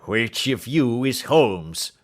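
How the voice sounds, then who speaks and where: A man's voice: mid-range voice, lively intonation, slow pace. Man voicing a fierce, snarling old doctor. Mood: stern, threatening. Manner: snarling, furious, blustering. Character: huge fierce old doctor, menacing bullying villain. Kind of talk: audiobook character acting.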